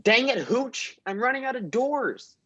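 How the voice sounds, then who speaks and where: A man's voice: very high-pitched, lively intonation, a little slow, pushed and bright, no room sound, dull audio, exaggerated voice. A man playing a fussy, exasperated police detective and dog owner in a comedic radio play.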